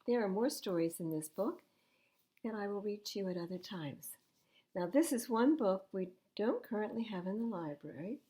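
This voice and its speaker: An older woman reading picture books aloud as a library storytime host, in an expressive, animated manner. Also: mid-range voice, moderate pace. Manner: animated, acting out dialogue.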